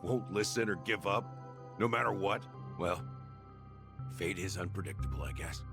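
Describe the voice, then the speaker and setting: A man's voice: deep voice, moderate pace, gravelly. A man voicing a wry, weary rogue in a video game, drawling and reflective as he speaks. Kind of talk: video game drama voice acting. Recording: loud music behind the voice.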